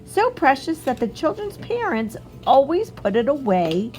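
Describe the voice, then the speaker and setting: A woman reading a storybook aloud like a teacher, expressive and instructive, pausing to put questions to young listeners. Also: high voice, poor audio.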